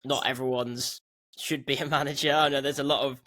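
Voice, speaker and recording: A man's voice: mid-range voice, level tone, a little fast, a bit bright. Young man, podcast host, chatty, loose, studio mic, home room. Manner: chatty, loose, says "like" a lot. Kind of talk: casual interview questions. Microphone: studio mic on a stand.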